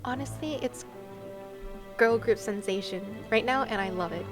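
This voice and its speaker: A woman's voice: fairly high pitch, moderate pace. A young woman hosting a livestream, chatting casually with viewers in a playful, exclaiming, giggly manner. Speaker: livestream host. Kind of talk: casual chat with stream viewers over a game. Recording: game music behind the voice.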